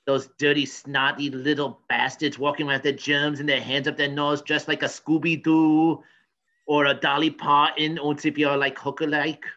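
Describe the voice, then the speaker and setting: A man's voice: on the high side, little pitch movement, moderate pace, bright, forceful tone, dull audio. A man playing a cranky, pompous professor in a comedy news sketch, rambling and stammering. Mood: disgusted ranting. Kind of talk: improvised mock news interview comedy.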